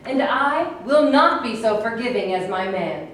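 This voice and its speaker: A woman's voice: on the high side, lively intonation, measured pace, slight background noise. A woman reading the part of a haughty, commanding cavewoman queen in a staged play reading, with an imperious manner. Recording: echoey room, mic far off.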